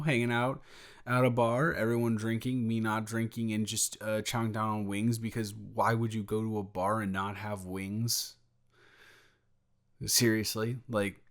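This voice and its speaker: A man playing a sheepish, evasive young male roommate in an improvised audio roleplay, halting and rambling as he talks. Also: fairly low pitch, a bit bright, close to an everyday voice. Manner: halting, rambling, trailing off into restarts.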